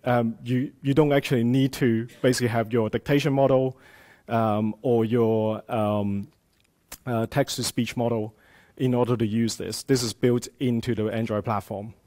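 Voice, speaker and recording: A man's voice: medium pitch, fairly even intonation, normal speed, mellow. Man, native Cantonese speaker, conference tech talk, chatty, lots of um and uh, live room with audience. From Hong Kong. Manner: conversational, many "um" and "uh" fillers.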